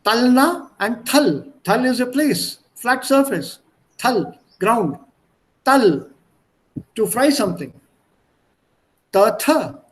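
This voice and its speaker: Male language tutor, Indian accent, high-pitched voice, explains didactically and demonstrates by repetition. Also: lively intonation, slow pace, long pauses, low-quality recording. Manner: didactic, repeats and demonstrates sounds. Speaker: language teacher. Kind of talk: online language lesson.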